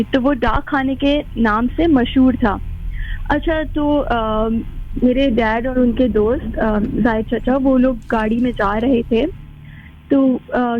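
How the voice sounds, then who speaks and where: A woman calling a radio show by phone, telling a story casually and mixing in English words. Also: fairly high pitch. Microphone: phone call. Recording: dull lo-fi phone-in line.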